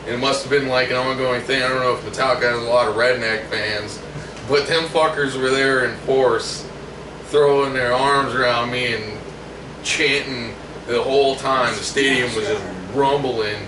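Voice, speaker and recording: A man's voice: mid-range voice, fairly even intonation, normal speed. A man who grew up in Germany, talking in a laid-back way and swearing casually. He sits well back from the mic in a reverberant room, with constant background noise under his voice. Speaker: band member. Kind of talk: casual chat. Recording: roomy, distant mic with noise.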